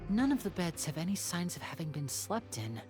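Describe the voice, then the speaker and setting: An actor playing a composed, watchful young man in a video game, speaking politely and deliberately, with music behind. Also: on the high side, big pitch swings. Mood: watchful, analytical. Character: composed, courteous, watchful young man, protective older brother.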